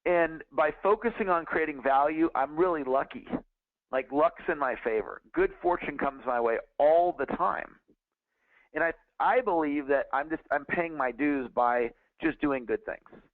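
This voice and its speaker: A man's voice: on the high side, a little slow, no echo. A man in his forties, a sales trainer, teaching on a call in an earnest, conversational way with frequent restarts. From California. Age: 40s. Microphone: phone. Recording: tinny dry phone line.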